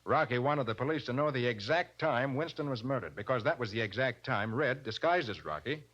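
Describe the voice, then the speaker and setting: An actor playing a commanding, quick-witted detective hero, brisk and confident, in a 1940s radio crime drama. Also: male voice, medium pitch, lively intonation, a bit bright. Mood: explaining, assured. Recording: dull vintage 1940s radio recording.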